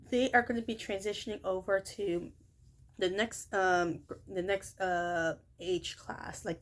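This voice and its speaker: Rambling young woman with a high shrill voice. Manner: rambling, many fillers and self-corrections. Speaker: childcare worker. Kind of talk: casual vlog recap of a workday.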